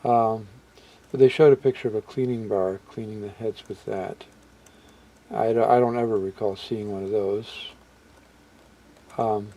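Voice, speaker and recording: A man with a mellow, relaxed voice at a middling pitch talks through a hobby walkthrough. He is unscripted and halting, musing aloud as he goes. Sentences often trail off before he picks up a new thought. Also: poor audio. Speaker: hobbyist video presenter. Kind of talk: casual unscripted product walkthrough.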